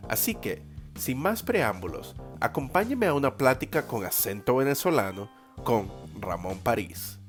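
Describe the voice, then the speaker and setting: A man's voice: mid-range voice, very animated, measured pace, soft background music. Eager male podcast host. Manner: eager, friendly questioning, repeats words when starting questions. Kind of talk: scripted intro then interview questions.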